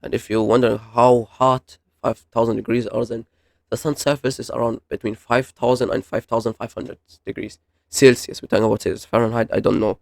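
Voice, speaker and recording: Man with creaky voice rambling through casual commentary. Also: medium pitch, flat delivery, no room sound, studio quality. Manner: halting, rambling, self-correcting. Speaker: YouTube host reviewing horror videos. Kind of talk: casual unscripted explainer commentary.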